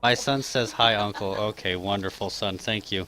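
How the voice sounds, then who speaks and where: A man's voice: fairly low pitch, steady tone, pushed and bright, slight background noise, low-quality recording. A man in his thirties from Kansas, easygoing in casual live-stream chat, reading out questions with plenty of um and uh. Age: 30s. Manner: easygoing, drawn-out fillers and quick chuckles.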